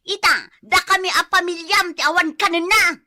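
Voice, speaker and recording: A woman's voice: very high-pitched, steady tone, normal speed, pushed and bright, heavily acted. A woman playing a nagging, exasperated wife in an Ilocano radio drama, with an Ilocano accent. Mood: angry, scolding.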